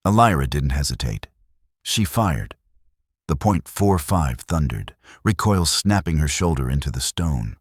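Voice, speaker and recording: A man's voice: a bass voice, very animated, measured pace, breathy edge, dry recording, clean studio sound. A man narrating a dramatic Western tale as a scripted storyteller.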